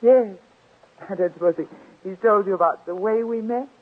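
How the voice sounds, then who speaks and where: A woman's voice: medium pitch, moderate pace, close to an everyday voice. An actress playing a poised, slyly probing young woman visitor, talking in a polite, genteel, conversational way in 1940s radio drama style. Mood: chatty, sly. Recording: tinny, muffled old-time radio recording.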